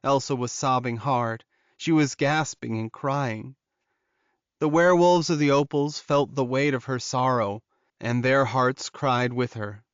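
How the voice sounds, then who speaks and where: A man's voice: medium pitch, steady tone, measured pace, smooth, no room sound, highs cut off. A man reading scripted fiction as an audiobook narrator.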